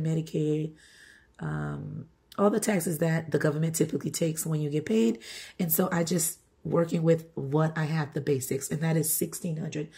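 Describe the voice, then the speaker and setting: A middle-aged woman with a groggy, hoarse voice from being sick, chatting casually as she hosts her home budgeting vlog. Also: low voice, dark tone. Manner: chatty, repeats "all right" and "okay". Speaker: budgeting channel host. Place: home, table-top filming.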